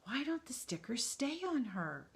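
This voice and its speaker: A woman's voice: very animated, measured pace, gravelly, exaggerated voice. A woman voicing a small, anxious wooden boy puppet in a children's storybook. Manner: anxious, pleading, high.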